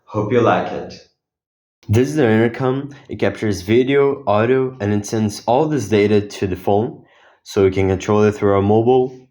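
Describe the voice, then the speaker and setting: Low-voiced teenage guy presenting. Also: normal speed, warm tone. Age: teens. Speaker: student inventor presenting own project. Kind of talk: explanatory project demo.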